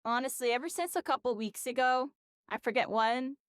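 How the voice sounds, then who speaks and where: A woman's voice: high voice, a little fast, clean tone, dry recording, studio quality. A tired woman streamer chatting casually and rambling, her voice worn out after a long session. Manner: rambling, full of "like" and "you know". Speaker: game streamer. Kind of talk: casual live-stream chat.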